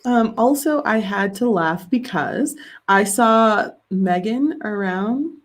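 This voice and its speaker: A woman's voice: mid-range voice, lively intonation, takes it slowly. A woman hosting a live writing sprint, chatting casually and reading viewer comments aloud with easy laughs. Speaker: livestream host of writing sprints.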